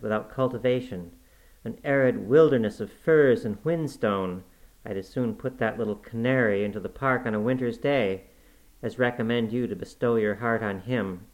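A man's voice: medium pitch, highs cut off, natural voice. A man voicing a haughty, imperious young married woman who scolds emphatically in a storybook.

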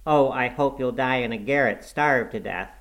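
A man's voice: medium pitch, moderate pace, fairly bright tone, voice pushed up, natural voice, rough recording. A man performs a peevish, ailing boy in an audiobook. The voice is lifted well above a man's normal talk, with a fretful, self-pitying whine. He sounds spoiled and forever complaining. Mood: peevish, demanding. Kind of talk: audiobook character acting.

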